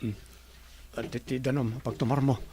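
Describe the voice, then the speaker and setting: A man's voice: mid-range voice, fairly even intonation, moderate pace, background noise, lightly acted. A man playing a caring, gossipy married villager in an Ilocano radio comedy drama. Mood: concerned, gossipy.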